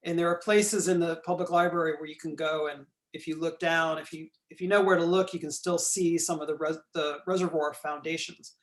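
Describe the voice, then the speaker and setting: A man giving a recorded lecture while pointing out features on a map. He is losing his voice, keeps clearing his throat and apologizes for it. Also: a little high, steady tone, a little fast, few pauses.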